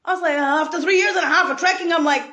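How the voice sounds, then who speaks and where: A woman from Italy, rambling in a profane monologue, laughing and bursting into shouts. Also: very high-pitched, very fast.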